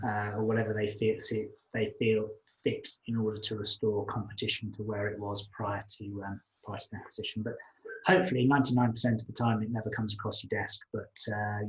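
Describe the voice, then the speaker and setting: A man with a lowish voice and a flat, even delivery answers audience questions on an online business webinar. He talks through finance points slowly and haltingly, filling gaps with ums and restarting his sentences. His tone stays level and unhurried. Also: poor audio. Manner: hesitant, many fillers and restarts, explanatory.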